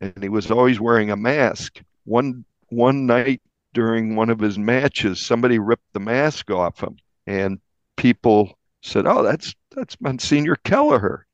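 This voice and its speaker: A mature man with an American accent, a reporter recounting a story conversationally in a measured, slightly halting way. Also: medium pitch, expressive, no room sound. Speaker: newspaper reporter interviewed. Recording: dull, slightly lo-fi, dry.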